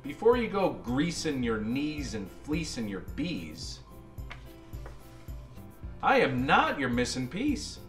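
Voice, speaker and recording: A man's voice: medium pitch, huge pitch swings, slow pace, long pauses, a bit bright, echoey room, soft background music, voice pushed down, exaggerated voice. A man voicing a blunt, independent little puzzle piece in a storybook, firm and matter-of-fact. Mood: blunt, dismissive. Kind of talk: storybook character voice.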